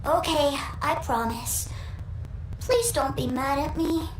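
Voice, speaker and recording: Excitable high-voiced little boy. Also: slow pace, far from the mic, background noise. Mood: apologetic. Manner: earnest, childlike, excitable. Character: innocent, adoring, adventurous little boy. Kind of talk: scripted game character dialogue. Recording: roomy game audio under loud music.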